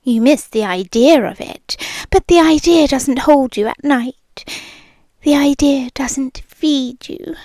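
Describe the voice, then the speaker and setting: A woman's voice: high voice, dry recording. A woman with a British accent voicing an obsessive, possessive rich young woman in a whispered ASMR roleplay. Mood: possessive purring. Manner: soft whispered, slow, purring menace.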